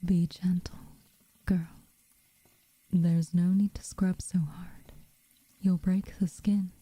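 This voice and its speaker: A woman playing an aloof, teasing but secretly caring witch in a soft, breathy, intimate ASMR roleplay. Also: lowish voice, level tone, dry recording, low-quality recording. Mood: gently instructing. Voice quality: soft, breathy, often near a whisper. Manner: unhurried, hushed, dryly teasing.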